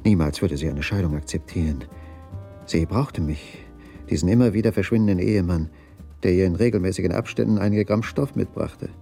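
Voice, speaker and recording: Brooding low, dark-voiced husband. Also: male voice, fairly quick, vocal fry, soft background music. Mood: brooding, realising. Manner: pondering, questioning. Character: brooding, bewildered husband uncovering his wife's secret. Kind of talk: radio crime drama dialogue and inner monologue.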